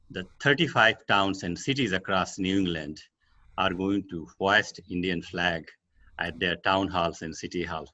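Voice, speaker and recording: Bright-toned older man hosting a video call. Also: low voice. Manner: hesitant, with uh fillers. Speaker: host. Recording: dull lo-fi call audio.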